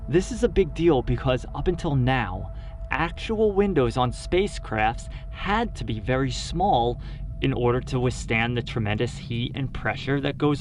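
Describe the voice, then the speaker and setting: A male actor as a dry, sarcastic scientist in a sci-fi audio drama. His pitch swings widely while the delivery stays slow, with music playing underneath. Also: darkish tone. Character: sardonic male space scientist on a corporate station.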